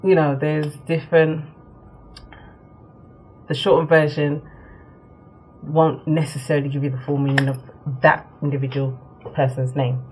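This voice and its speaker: A young woman born in Nigeria, chatting casually to camera for her vlog, with many ums and quick laughs. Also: sits low, flat delivery, darkish tone, slight background noise, low-quality recording. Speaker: vlogger. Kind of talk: casual talk to camera, answering a tag.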